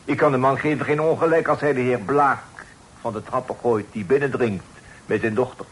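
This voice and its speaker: A man playing an obsequious, evasive lodging-house keeper in a Dutch radio drama, halting and servile, with broken phrasing and French words. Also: mid-range voice, fairly even intonation, close to an everyday voice. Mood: evasive, flustered. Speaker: radio play actor. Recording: faintly noisy old radio play recording.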